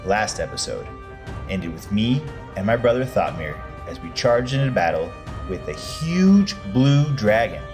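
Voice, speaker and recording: An actor playing a friendly, bumbling dwarf warrior, addressing listeners in a folksy audio drama style. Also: male voice, fairly low pitch, very animated, measured pace, music in the background. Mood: boastful. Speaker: in-character announcer. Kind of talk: in-character audio drama announcements and recap.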